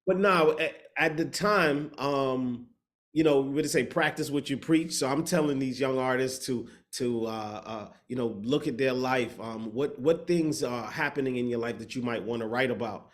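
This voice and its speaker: A man from the Bahamas, a matchmaker and speaker, telling his story in a reflective, steady way in an interview over a video call, sometimes searching for words. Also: mid-range voice, normal speed.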